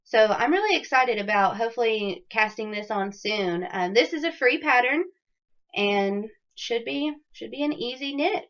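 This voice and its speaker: A woman hosting a knitting video podcast, chatting casually with many ums and sounding a little raspy from a cold. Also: a little high, moderate pace, fairly bright tone. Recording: dull lo-fi audio.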